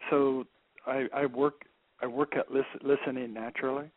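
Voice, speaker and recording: A soft-spoken man speaking as a guest, halting, with many repeated words and restarts. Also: mid-range voice, little pitch movement, no echo. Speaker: guest expert. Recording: phone-line audio.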